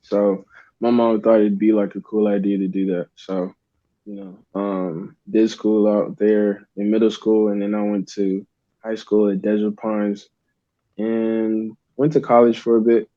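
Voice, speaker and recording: A man's voice: lowish voice, level tone, a little slow, mellow, dry recording. A young man from Uganda, a guest artist, talking in a laid-back, rambling way with many fillers. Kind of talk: casual interview chat. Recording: dull lo-fi dry sound.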